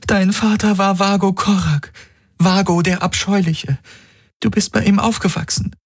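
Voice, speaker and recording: A man's voice: high voice, steady tone, unhurried, breathy edge, no room sound, studio quality, pitched above the performer's own voice, heavily acted. A man voicing a tearful alien woman who urgently pleads with someone to remember her. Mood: urgent pleading.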